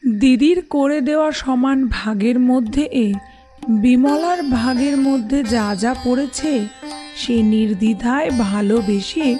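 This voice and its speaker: Slow, fairly high-voiced woman storyteller. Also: mellow, soft background music. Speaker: narrator. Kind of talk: scripted children's story narration.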